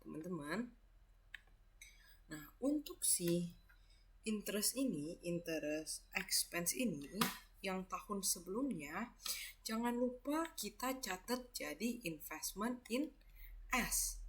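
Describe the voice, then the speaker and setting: A woman's voice: on the low side, slight background noise. A young woman with an Indonesian accent explains step by step as a tutor, conversationally, often asking questions and answering them herself. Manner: conversational, asks and answers own questions, frequent tag words. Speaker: accounting tutor.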